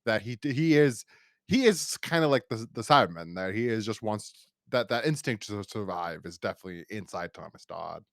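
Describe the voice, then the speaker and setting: A man's voice: fairly low pitch, animated, moderate pace, no echo, studio quality. A man hosting a podcast, talking casually in a rambling, opinionated and emphatic way as he reviews. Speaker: podcast host.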